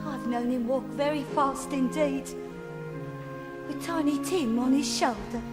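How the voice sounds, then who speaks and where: An actress playing a tender, wistful, quietly brave grieving working-class mother in a musical radio drama. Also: high-pitched, a little slow, over loud music. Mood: tender grief.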